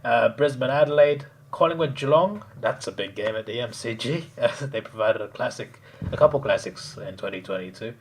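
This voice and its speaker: A man chatting casually on a live stream, hoarse, rambling and laughing often. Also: medium pitch, moderate pace.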